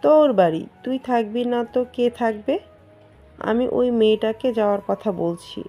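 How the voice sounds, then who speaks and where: Audio drama: woman to play scornful middle-aged matriarch, indignant and hostile, dark timbre on the higher side, light music under. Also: unhurried. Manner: sharp-worded, indignant, scornful. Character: haughty, hostile middle-aged mother of the household. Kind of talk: Bengali audio story acting.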